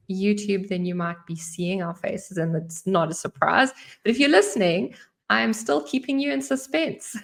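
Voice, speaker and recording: South African woman with a gravelly voice. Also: mid-range voice. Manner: chatty, laughs often, fillers. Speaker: podcast host. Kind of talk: casual podcast chat.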